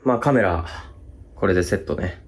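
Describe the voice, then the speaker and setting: A man's voice: low-pitched, moderate pace, noisy background. A man talking casually as host of a ghost-hunting video. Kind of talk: casual chat.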